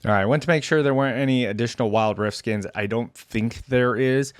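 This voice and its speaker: A man with a medium-pitched voice gives casual, unscripted reaction commentary. He talks conversationally, working out his opinions aloud. The recording is dry, with very little room sound. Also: fairly quick, clean tone, no echo. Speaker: reaction video host. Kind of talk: casual off-the-cuff reaction commentary on game skins.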